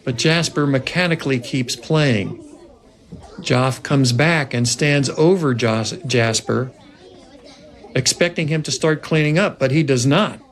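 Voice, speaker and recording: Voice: mid-range voice, unhurried. A narrator reading scripted stage directions aloud at a film table read.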